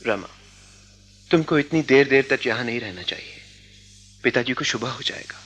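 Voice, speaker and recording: Actor as stoic young man, bright forceful voice. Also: medium pitch, a little hiss or hum, natural voice. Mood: concerned, earnest. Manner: measured, philosophical, restrained. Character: stoic, self-denying, earnest young man. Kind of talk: Hindi radio drama acting.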